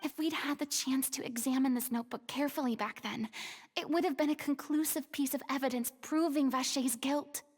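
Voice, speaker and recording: A woman's voice: high voice, steady tone, a little fast, natural voice. A woman voicing a poised, commanding young noblewoman in a video game, speaking in an articulate, theatrical way. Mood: pensive. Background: game music.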